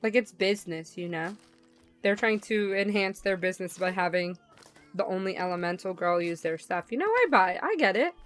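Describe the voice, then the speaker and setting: A young woman streaming a visual novel, chatting casually in an expressive, slangy way. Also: mid-range voice, brisk. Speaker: game streamer. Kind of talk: casual chat while reading a visual novel.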